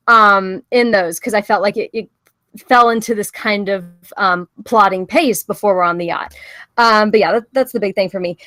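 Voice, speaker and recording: Woman chatting script notes, higher bright voice, pretty blunt, close dry sound. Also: dry recording. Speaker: script reader giving feedback. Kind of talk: casual feedback talk.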